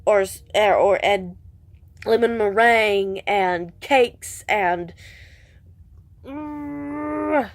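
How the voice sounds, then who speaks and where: A woman's voice: medium pitch, drawn-out words. A woman with a stuffed-up, congested voice, chatting casually in a vlog and rambling with self-deprecating restarts. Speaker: vlogger.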